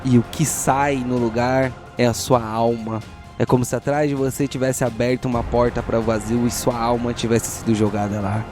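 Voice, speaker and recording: Man, Brazilian accent, game master, tabletop RPG narration, mid pitch, faint music bed. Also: fairly even intonation, moderate pace, darkish tone.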